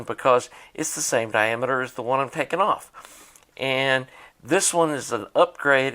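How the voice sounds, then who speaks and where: A man's voice: mid-range voice, bright, forceful tone. A man giving a casual, unscripted narration of a repair, thinking aloud in a plainspoken way as he works. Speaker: hobbyist demonstrating a tape deck repair.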